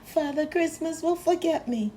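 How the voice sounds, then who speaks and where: A woman's voice: high voice, moderate pace, dark tone, slight background noise, voice pushed up, natural voice. A woman voicing an earnest, childlike little male steam engine with a peeping manner in a children's storybook. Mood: worried.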